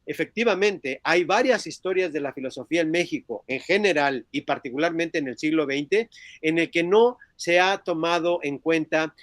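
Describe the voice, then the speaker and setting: A man giving an academic seminar talk as a philosophy lecturer, speaking haltingly with frequent filler words as he searches for phrasing. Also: on the high side, fairly bright tone, no room sound. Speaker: philosophy lecturer presenting a book.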